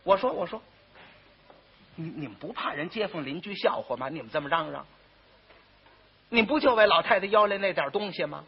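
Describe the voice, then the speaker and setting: An outspoken married younger sister from a comic story, played by a man, takes charge in a Beijing accent. The voice is high, husky and very varied, briskly scolding and laying down terms. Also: expressive, exaggerated voice. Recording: muffled, dull old recording.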